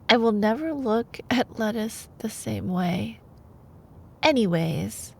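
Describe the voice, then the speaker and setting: A woman playing a sweet, playful, opinionated girlfriend in an intimate bedtime-story ASMR roleplay, expressive in her delivery. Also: on the high side, full character voice. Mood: tender, soft. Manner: expressive, exasperated asides between measured reading.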